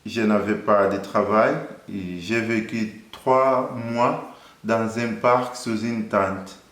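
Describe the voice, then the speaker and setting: Slow, low-voiced man, echoey room. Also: a bit bright. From Angola. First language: Portuguese. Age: 30s. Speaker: interviewee. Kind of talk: personal faith testimony.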